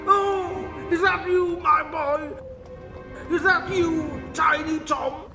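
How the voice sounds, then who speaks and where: A man's voice: very high-pitched, fairly even intonation, slow pace, full character voice. A man playing a poor, loving, overwrought father in a radio play, calling out melodramatically. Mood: yearning, dramatic. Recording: reverberant hall under clear music.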